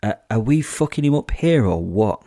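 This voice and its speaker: A man's voice: on the low side, lively intonation, normal speed, mellow, dry recording, studio quality. A man voicing an eager, crude, mischievous wolf sidekick, cocky and goading, in a horror audio drama.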